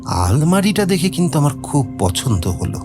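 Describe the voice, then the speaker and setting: An actor playing a nervous, talkative gentleman in an audio drama, hesitant and rambling. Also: a little high, sing-song, moderate pace, music in the background. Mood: chatty, explaining. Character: nervous, talkative middle-class Bengali gentleman with a mystery.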